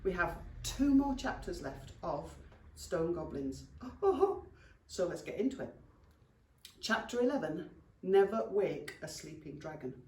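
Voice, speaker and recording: Animated British woman reading, echoey room. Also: fairly low pitch, unhurried. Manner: animated, addresses young listeners fondly. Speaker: teacher reading a story to pupils. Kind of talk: expressive children's story reading. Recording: roomy echo, distant microphone.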